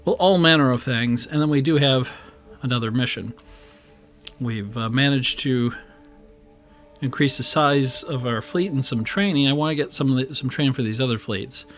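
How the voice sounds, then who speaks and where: A man with a weak, strained voice chats loosely while he live-streams a strategy game, rambling and restarting his sentences. He speaks into a boom mic at his home desk. Faint game music runs underneath. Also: moderate pace, a bit bright. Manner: chatty and rambling, with many fillers and restarts. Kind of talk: casual live-stream commentary while playing. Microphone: desk microphone on a boom arm.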